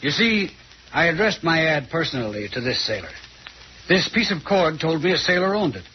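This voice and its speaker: An actor playing a confident, condescending detective who lectures and teases in an old-time radio mystery. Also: male voice, fairly high pitch, sing-song, moderate pace. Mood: explaining. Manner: dramatic, lecturing, teasing. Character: confident, theatrical male detective, shrewd and condescending. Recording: muffled, noisy old radio recording.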